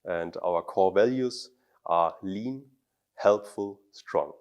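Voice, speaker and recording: Man, thirties, from Germany, deep low voice, interview answers, halting phrasing, lapel mic indoors. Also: a little mellow, smooth. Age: 30s. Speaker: doctor and startup co-founder. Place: office meeting room indoors.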